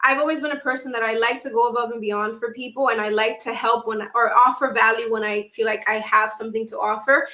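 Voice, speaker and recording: A young woman with a high, bright voice speaks as a guest in a casual interview. She tells her story in an animated, conversational way, acting out things she has said. The sound is roomy, with noticeable echo. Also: fast talker, few pauses. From Dominican Republic and New York City. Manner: animated storytelling, acts out her own past remarks. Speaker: podcast guest, actress and content creator.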